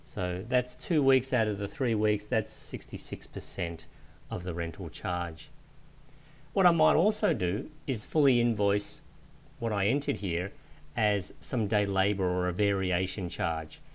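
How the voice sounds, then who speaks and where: A man with a fairly low voice narrates a software tutorial. He explains each step informally, in the manner of an instructor walking a learner through a screencast. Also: animated, a little slow.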